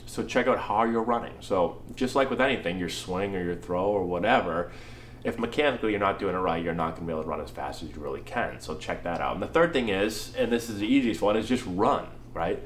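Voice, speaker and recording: A man's voice: sits low, expressive, fast talker, a little hiss or hum. A man in his thirties with a stuffy cold, a baseball coach casually answering viewer questions and tagging his points with "right?". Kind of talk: casual talking-head Q&A. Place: small indoor room, plain wall. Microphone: camera in front, head and shoulders. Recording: echoey room.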